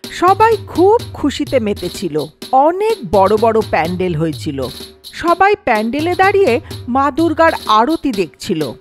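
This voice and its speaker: A woman narrates an animated horror story with dramatic flair. Her voice is high and swings expressively up and down, over clearly audible background music. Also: unhurried.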